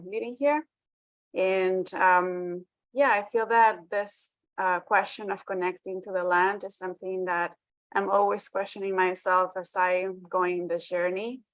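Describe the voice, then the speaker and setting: Woman from Mexico, casual self-introduction, halting with fillers, dry sound, video call. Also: medium pitch, no echo, poor audio. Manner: hesitant, with many fillers.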